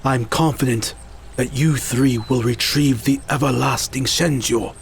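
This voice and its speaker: A man's voice: mid-range voice, steady tone, a little slow, vocal fry, slight background noise. A man playing a loyal, solemn village musician who speaks formally and earnestly in an audio drama.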